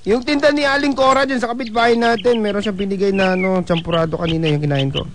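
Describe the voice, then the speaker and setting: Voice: mid-range voice, quick pace, slight background noise, close to an everyday voice. An actor playing a sulky, defiant teenage son in a radio drama, with a whiny, petulant delivery. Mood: sulky, dismissive.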